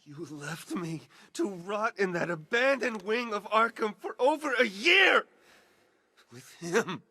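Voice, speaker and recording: A man's voice: sits high, big pitch swings, takes it slowly, bright, forceful tone, full character voice. A man voicing an embittered young former sidekick turned masked villain in a video game, taunting, anguished and intense. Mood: anguished rage. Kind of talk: dramatic video game acting. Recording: long reverb, noisy background.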